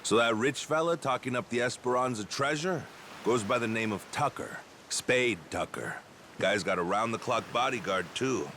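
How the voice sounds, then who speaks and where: A man's voice: medium pitch, moderate pace, pushed and bright, slight background noise. A man voicing a knowing, teasing treasure hunter who tells stories informally in a video game dub. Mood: casual, intrigued. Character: knowing male treasure hunter sharing rumors, smooth-talking.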